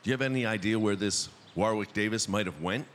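Male podcast host, fairly deep voice, dramatic scripted storytelling, first-person narration, noisy backdrop. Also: quick pace.

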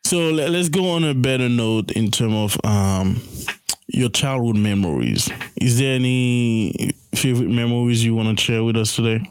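A man hosting a podcast who asks questions haltingly and answers his guest with quick affirmations. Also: medium pitch, clear, resonant tone, no echo. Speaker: podcast host. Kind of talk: podcast interview.

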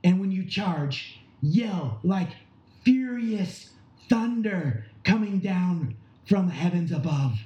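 A man's voice: high-pitched, lively intonation, slow, lo-fi sound. A man playing an urgent ancient army commander in an amateur audio drama, declaiming battle orders. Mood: urgent, commanding. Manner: loud declamatory barking of orders. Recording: echoey room.

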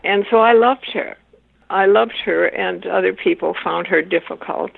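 An older woman whose first language is Swiss German, speaking as an interview guest in a measured, thoughtful way and pausing to search for words. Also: medium pitch, gravelly, breathy edge, dry recording. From Wisconsin, USA. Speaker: interview guest, author and family therapist. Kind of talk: reflective interview answers. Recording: tinny phone-like line.

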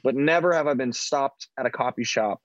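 A man co-hosting a podcast, telling casual stories in a loud, piercing voice with a joking, self-deprecating manner. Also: medium pitch, brisk, no echo, muffled recording. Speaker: podcast co-host. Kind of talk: casual banter and storytelling. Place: online video call.

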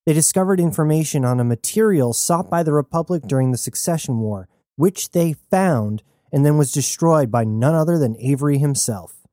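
A woman's voice: sits low, expressive, normal speed, mellow, dry recording, studio quality, pitched above the performer's own voice. A narrator voicing a bold, reckless woman adventurer who talks with brash confidence. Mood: persuasive.

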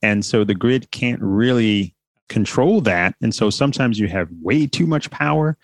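A man with a fairly low voice answers an interviewer in a measured, explanatory way, now and then pausing on an "uh." The sound is close and very dry, with no room to speak of. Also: gravelly, no echo. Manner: measured, explanatory, with light fillers. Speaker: company founder, guest.

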